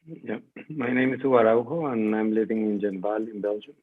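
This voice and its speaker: A man's voice: lowish voice, steady tone, a little fast. A man introducing himself briefly on a video call in an understated way. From Mexico. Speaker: panelist. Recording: tinny, phone-like call audio.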